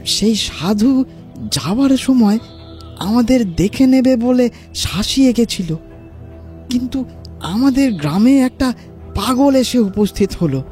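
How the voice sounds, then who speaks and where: An actor voicing an awestruck, anxious villager in an audio horror drama, telling of troubles in a halting, dramatic way. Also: mid-range voice, animated, darkish tone, soft background music. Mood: troubled, confiding.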